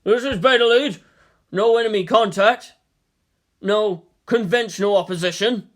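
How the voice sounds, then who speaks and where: A woman's voice: medium pitch, unhurried, pitched above the performer's own voice, natural voice. A woman voicing a tense male military squad leader giving clipped radio reports in a story reading. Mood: businesslike. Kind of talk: dramatized fan fiction reading.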